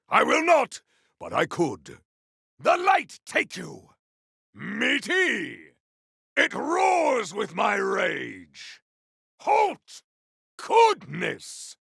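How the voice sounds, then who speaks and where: An actor voicing a bombastic video game warrior with a rough, gravelly voice and grandiose, formal delivery. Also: male voice, high voice, bright, forceful tone, dry recording, studio quality, heavily acted. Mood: taunting. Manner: grandiose, theatrical, formal uncontracted speech. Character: bombastic warrior bellowing battle cries with grim relish. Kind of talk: video game combat barks.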